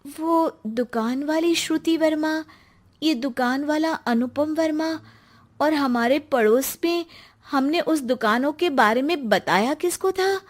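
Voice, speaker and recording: A woman voicing an emotional young wife in a cartoon story, speaking in a pleading way that takes offense quickly. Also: high voice, pitched above the performer's own voice. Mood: worried.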